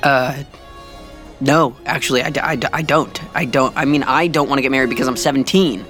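An actor playing an awkward, sarcastic seventeen-year-old prince with casual, flustered speech in an audio drama. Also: male voice, medium pitch, a little fast, a bit bright, faint music behind, lightly acted. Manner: casual, flustered teenage talk.

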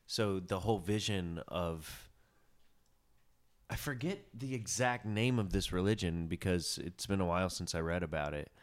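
Deep-voiced male podcast host, relaxed and musing, on a close studio mic. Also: normal speed. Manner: laid-back, musing, trails off into low mutters. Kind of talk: casual podcast conversation.

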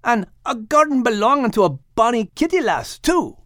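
A man's voice: very high voice, very animated, normal speed, fairly bright tone, dry recording, studio quality, voice pushed up, full character voice. A man voicing a protective, teasing male dog with a Scottish accent and a hearty, blunt manner in an audiobook. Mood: scolding. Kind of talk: animated audiobook character reading.

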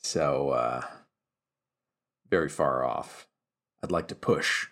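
A man playing a tabletop role-playing game, talking casually as his character in a halting way, repeating words as he thinks aloud. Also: rumbling low, fairly bright tone.

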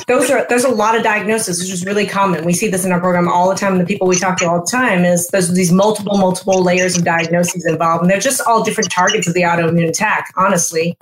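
A woman's voice: lowish voice, a little hiss or hum, poor audio. Upbeat woman hosting a health show. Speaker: podcast host and physician.

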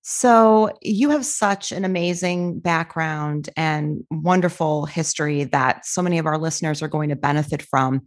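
Measured woman podcast host, lowish voice. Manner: reads a long formal bio in a measured way. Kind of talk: scripted guest introduction.

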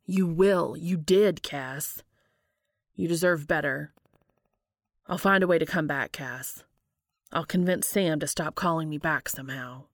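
Female reader as devoted young man, stubborn and earnest, low gravelly fry, storybook romance drama. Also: measured pace, pauses often, no room sound. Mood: determined.

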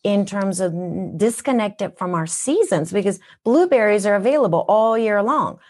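A woman's voice: medium pitch, expressive, moderate pace, a little mellow. A woman from Japan with a Minnesotan accent, speaking as a wellness guest in an interview, earnest and teacherly, asking rhetorical questions. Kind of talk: podcast interview.

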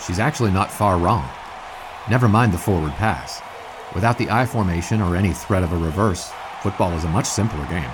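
An actor playing a bewildered, grumbling sportswriter, dry and skeptical, in a 1920s audio drama. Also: male voice, deep voice, a little fast, noisy background, close to an everyday voice. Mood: dry, musing. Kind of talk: period audio drama acting.

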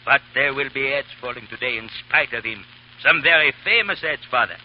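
An actor playing a jovial, bloodthirsty French revolutionary barber in a 1930s radio drama, chatty and gleefully morbid. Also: male voice, mid-range voice, a little fast, natural voice. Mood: confiding, grim. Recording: muffled, noisy 1930s radio recording.

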